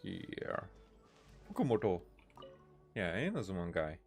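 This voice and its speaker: A man's voice: low voice, wildly swooping pitch, a little slow, soft background music. A man doing casual let's-play commentary, reading game dialogue aloud in a hesitant way with many "uh"s and restarts.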